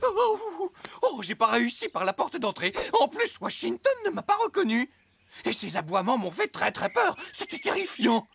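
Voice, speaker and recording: A man playing a meek, clumsy husband in an animated cartoon, apologetic, hesitant and fretful. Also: extremely high pitch, normal speed, gravelly, background noise, heavily acted. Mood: frightened, pleading. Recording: voice over cartoon music.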